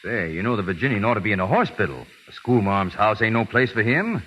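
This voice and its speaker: An actor playing a folksy, well-meaning, cagey cowhand with an American accent in 1930s radio drama style. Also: male voice, fairly low pitch, expressive, quick pace, fairly bright tone. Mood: gruff, concerned. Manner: folksy, ungrammatical, hesitant. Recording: muffled, hissy 1930s radio recording.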